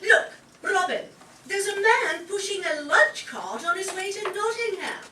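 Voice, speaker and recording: A spirited, defiant noblewoman, played by a woman, speaks boldly in a very high, bright voice. The live amateur radio play is recorded from a distance in an echoey hall. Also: expressive, unhurried, slight background noise, exaggerated voice. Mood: eager. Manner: bold, crisp, scolding. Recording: roomy hall from far off, with music.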